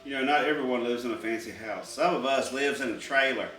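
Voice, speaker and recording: A man's voice: mid-range voice, fast talker, fairly bright tone, recorded from a distance. Male vlog co-host, casual and wisecracking, recorded in an echoey indoor space with faint music behind. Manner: teasing, wisecracking. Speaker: co-host of a home unboxing vlog. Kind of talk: casual joking banter. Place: indoors in a trailer home. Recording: roomy echo, off the mic, faint music.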